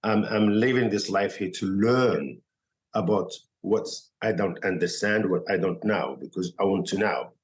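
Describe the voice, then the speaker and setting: A man from Morocco with a Moroccan accent and Arabic as his first language, telling his story as a podcast guest in halting, filler-filled English. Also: deep voice. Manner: halting non-native English, many fillers and restarts. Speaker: guest chef. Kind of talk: casual podcast interview.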